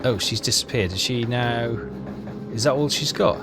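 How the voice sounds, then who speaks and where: A man giving casual game walkthrough commentary, reading text aloud and haltingly thinking out loud. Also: mid-range voice. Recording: clear music behind the voice. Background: game music.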